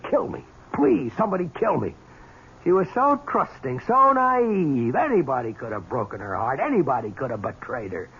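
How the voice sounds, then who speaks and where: An actor playing a callous, smooth gentleman rider who is easily rattled, in a 1970s radio drama. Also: on the high side, exaggerated voice. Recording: dull, noisy old radio recording.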